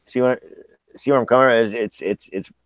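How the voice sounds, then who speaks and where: Guy in his 50s just shooting the breeze on a live chat, medium pitch, dry sound. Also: fairly quick, clean tone, no echo. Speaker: live chat show panelist. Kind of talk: casual group banter.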